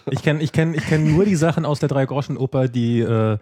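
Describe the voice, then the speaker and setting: Teasing podcast co-host, man, husky, mellow tone, dry room. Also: mid-range voice, brisk, no echo. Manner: teasing and joking. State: unwell. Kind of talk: casual chat.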